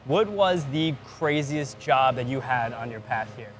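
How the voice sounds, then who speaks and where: A man's voice: medium pitch, animated, unhurried. A man hosting a podcast interview on location, putting questions in an upbeat conversational style. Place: spring training ballpark. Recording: faint background ambience noise.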